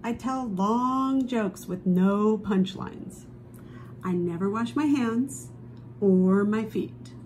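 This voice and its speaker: A woman reading a children's picture book aloud expressively, with dramatic drawn-out emphasis on key phrases. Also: medium pitch, a little mellow, a little hiss or hum. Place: indoors at a table, book held before camera.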